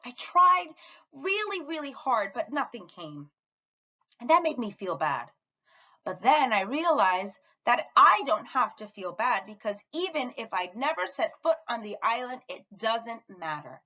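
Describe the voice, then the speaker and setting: A woman's voice: on the high side, very animated, unhurried, pushed and bright, lo-fi sound, voice pushed up, full character voice. A woman reading a storybook, voicing a curious, eager and earnest little girl.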